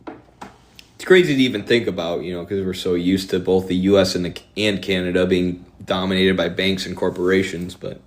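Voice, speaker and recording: A man's voice: sits low, steady tone. A man with a Midwestern accent, talking politics casually on a live stream in a rambling, sardonic way. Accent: Midwestern American. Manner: rambling, fast-shifting, sardonic.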